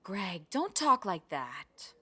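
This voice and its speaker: Flighty mother, slow and bright. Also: medium pitch, sing-song, slightly rough, exaggerated voice. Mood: vague, distracted. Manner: hesitant, distracted, halting. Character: flighty, vague, anxious mother of grown children. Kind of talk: radio play acting.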